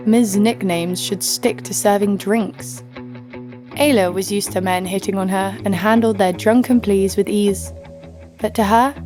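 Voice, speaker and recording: A woman's voice: mid-range voice, darkish tone, natural voice. A woman playing a sassy, snarky heroine who narrates an audio drama story over faint music. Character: sassy, snarky female sidekick-owning storyteller, fond but teasing. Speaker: narrator and lead. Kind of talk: scripted first-person story narration.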